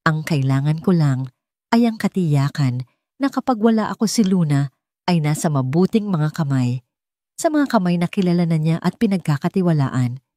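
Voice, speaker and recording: An earnest, worried rich father, as read by a woman narrator with a Filipino accent, in a romance audiobook. The voice is low and mellow, composed and decisive. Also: expressive, moderate pace, no room sound, studio quality. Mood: reassuring. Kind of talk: audiobook character dialogue.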